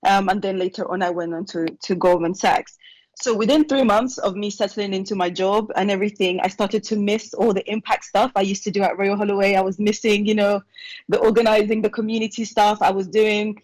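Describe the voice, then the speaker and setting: A young woman, born in Nigeria and raised in England, sharing her story with a panel over a remote video call in a chatty way with quick asides. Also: medium pitch, steady tone, dry recording, rough recording. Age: young adult. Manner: chatty and warm, quick asides with light laughs. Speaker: alumna panelist.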